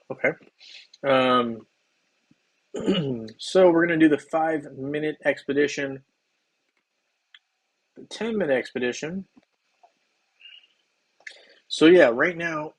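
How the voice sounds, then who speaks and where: Chatty slightly hoarse male streamer. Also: mid-range voice, a little slow, long pauses, poor audio. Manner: chatty, loose, with many ums and restarts. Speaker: game livestream host. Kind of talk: casual livestream chat while playing.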